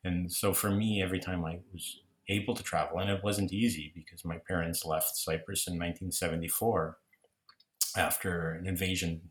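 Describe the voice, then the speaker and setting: Deep-voiced reflective man, roomy echo. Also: fairly even intonation, moderate pace. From Toronto, Canada. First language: Greek. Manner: reflective, rambling, many restarts. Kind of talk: casual conversation.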